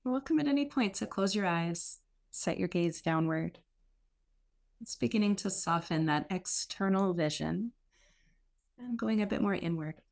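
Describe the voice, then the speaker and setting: A woman leading a guided meditation as a teacher, speaking in an unhurried, gently instructive way with pauses. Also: medium pitch, lively intonation, gravelly.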